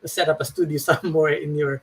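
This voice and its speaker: Brief: man hosting a talk show, husky voice, friendly, halting phrasing. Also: a little high, fairly even intonation. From Philippines. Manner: friendly, with hesitant fillers and short laughs. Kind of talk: interview questions.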